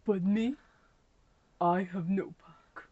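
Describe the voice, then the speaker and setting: A storyteller voicing a quiet, lonely young male hunter in a slow, wistful, subdued way for a folktale. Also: medium pitch, steady tone, phone-quality sound.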